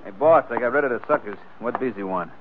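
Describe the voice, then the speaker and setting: A man's voice: medium pitch, fast, lightly acted. An actor playing a dim, slangy gangster henchman in a 1940s radio drama. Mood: puzzled. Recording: noisy, tinny 1940s radio recording.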